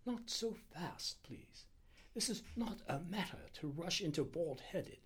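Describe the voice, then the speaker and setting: A man voicing an anxious, high-pitched little Indian doctor. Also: lively intonation, a bit bright, a little hiss or hum, exaggerated voice. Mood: tense, cautioning. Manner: urgent, halting, deliberate, breathy at times. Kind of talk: audiobook character dialogue.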